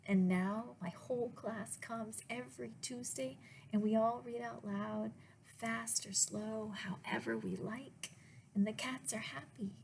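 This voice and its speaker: A woman reading picture books aloud to children as a librarian, in a deliberate way with pauses and little asides to the listeners. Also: on the high side, fairly even intonation, gravelly, a little mellow, slight background noise, poor audio. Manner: slow, deliberate phrasing with pauses. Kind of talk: read-aloud storytime with asides to young listeners.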